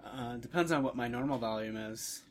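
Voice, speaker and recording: A man's voice: medium pitch, moderate pace. A man in his thirties co-hosting a casual podcast chat, with dry jokes. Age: 30s. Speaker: podcast co-host.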